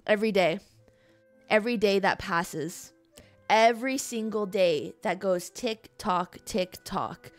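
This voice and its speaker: A woman livestreamer chatting casually with her viewers in a playful, dramatically emphatic way. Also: mid-range voice. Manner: playful, drawn-out emphatic delivery with dramatic exaggeration. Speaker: livestreamer, gaming channel host. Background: stream music.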